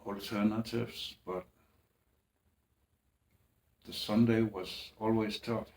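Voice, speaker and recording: A man speaking in a halting, hesitant way, with restarts and long pauses, in a personal monologue. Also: on the low side, flat delivery, gravelly, a little mellow, a little hiss or hum. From Greenland. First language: Danish.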